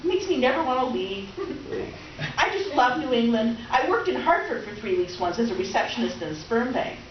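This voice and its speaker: A woman's voice: on the high side, sing-song, fairly quick. A woman acting a vivacious, needy middle-aged houseguest who rambles and gushes in a comic readers theater play. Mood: gushing. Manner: gushing, rambling, dramatic, oblivious. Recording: roomy, distant, dull, noisy stage recording.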